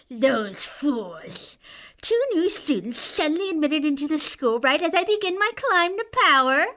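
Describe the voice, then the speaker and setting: A woman's voice: sits high, lively intonation, unhurried, a bit bright. A woman playing a whiny, megalomaniac student necromancer in a comedic audio drama, grandiose and melodramatic. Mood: gloating, grandiose.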